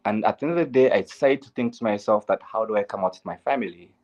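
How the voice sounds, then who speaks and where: A young Zambian man speaks in an interview with a lowish voice. He talks earnestly and candidly, filling gaps with "um" and coming back to stock phrases. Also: fast talker, a little mellow. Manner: earnest and candid, with frequent "um" and "at the end of the day". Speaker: guest, LGBTQ advocate. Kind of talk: interview, personal story.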